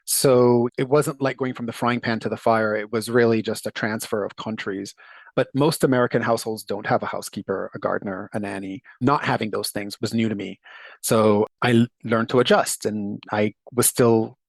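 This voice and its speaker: A man's voice: fairly low pitch, fairly even intonation, moderate pace, gravelly, no room sound. A middle-aged man from Jamaica, telling his story as an interview guest in a thoughtful way that laughs easily. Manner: thoughtful, warm, laughs easily, occasional restarts.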